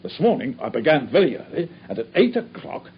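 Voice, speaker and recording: Earnest police inspector, lively voice, a bit high, dull old radio with a faint hiss. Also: male voice. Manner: earnest, storytelling, brisk. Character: dogged male police inspector, earnest and proud. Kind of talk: radio drama acting.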